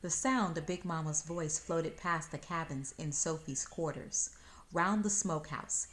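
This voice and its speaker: A woman reading a children's story aloud in expressive scripted narration. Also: low-pitched, measured pace. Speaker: storybook reader.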